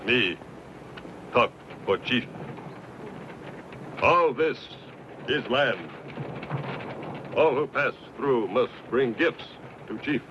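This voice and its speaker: A stern Comanche go-between in an old western radio drama, delivering solemn pronouncements in halting broken English. His pitch swings widely, and the recording is dull with faint noise. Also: lively intonation. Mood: solemn demand.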